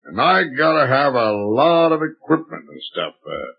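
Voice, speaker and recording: Rough-talking American spaceman, gravelly and ungrammatical, old muffled radio drama. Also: medium pitch, very animated, moderate pace, heavily acted. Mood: gruff, casual. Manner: ungrammatical, slangy, hesitant. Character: gruff, slangy, rough-talking male space adventurer. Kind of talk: 1930s radio serial acting. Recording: dull 1930s radio recording.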